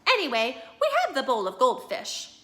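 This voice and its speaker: A woman's voice: high-pitched, wildly swooping pitch, normal speed, fairly bright tone, voice pushed up, heavily acted. A woman reading aloud, voicing a thrifty, worried housewife who scolds sharply. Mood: fretful. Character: fussy thrifty housewife, middle-aged.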